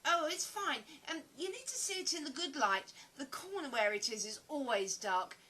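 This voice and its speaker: Woman acting a high-voiced, flustered actress. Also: very animated, normal speed, sharp tone, full character voice. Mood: wistful, chatty. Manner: stammering, hesitant, chatty. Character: flustered, self-deprecating actress living in a country cottage. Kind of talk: audio play acting.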